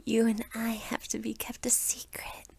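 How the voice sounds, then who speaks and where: A woman's voice: a little high, completely flat delivery, normal speed, gravelly, a little mellow, husky, no room sound, whispered. A woman voicing a bratty young succubus vampire girl, teasing and menacing, in an anime-style roleplay. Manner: taunting, flippant.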